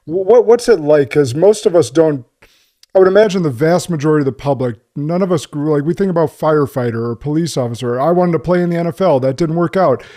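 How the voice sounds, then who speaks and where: A man's voice: a little high, fast talker, dark tone. A man hosting a podcast, asking loose, rambling questions and often correcting himself. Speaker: podcast host. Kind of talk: casual interview questions.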